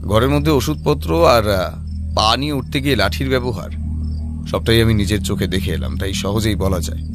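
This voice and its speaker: Man acting a gravelly, wry Bengali detective. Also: lowish voice, animated, normal speed, music in the background. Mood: measured, reasoning. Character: calm, wry male detective, dryly teasing his friend. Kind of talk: Bengali audio story acting.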